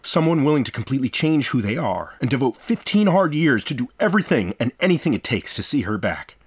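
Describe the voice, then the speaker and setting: A man's voice: medium pitch, sing-song, fast, breathy edge. A man hosting a game review, delivering scripted commentary in an impassioned, theatrical way that moves between profanity and sentiment. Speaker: video host, game reviewer.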